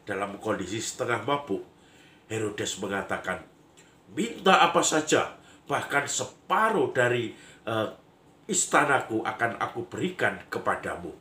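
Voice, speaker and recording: Hoarse priest with a cold, slow. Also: male voice, lowish voice, big pitch swings, sharp tone, reverberant, a little hiss or hum. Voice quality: husky, a little nasal. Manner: chuckles often, storytelling; playful, mimics lion dance sounds. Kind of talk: homily.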